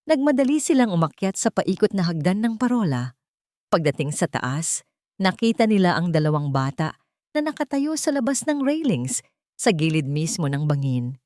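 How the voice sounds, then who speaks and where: A woman's voice: on the low side, big pitch swings, moderate pace, darkish tone, no echo. A woman reading a dramatic scripted story as an audiobook narrator.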